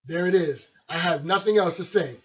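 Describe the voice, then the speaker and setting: A man's voice: sits high, normal speed. Chatty radio DJ man, lo-fi sound. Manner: chatty, friendly, loose. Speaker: radio host and DJ. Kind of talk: casual radio show talk. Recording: dull lo-fi recording.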